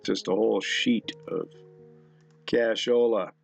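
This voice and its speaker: A middle-aged man rambles slowly through his thoughts, halting and musing as he comments on pictures. His voice has a gravelly creak, and faint music plays behind him. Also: fairly low pitch, steady tone, dull audio. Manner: musing, halting, talks to himself. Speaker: video host. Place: indoor room at a computer.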